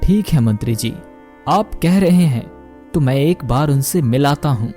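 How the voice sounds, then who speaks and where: A man's voice: on the high side, lively intonation, unhurried, dark tone, soft background music. A man voicing an authoritative king in a cartoon story, formal and regal. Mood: resigned.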